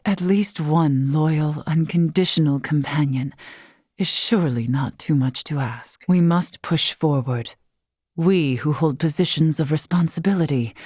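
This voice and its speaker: An actress playing a wise, long-lived female scholar in a video game, composed and curious, speaking in formal, measured, eloquent phrases. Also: low voice, dry recording, studio quality, heavily acted. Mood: tender, solemn. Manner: formal, measured, eloquent, softly breathy at times. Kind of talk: scripted video game character dialogue.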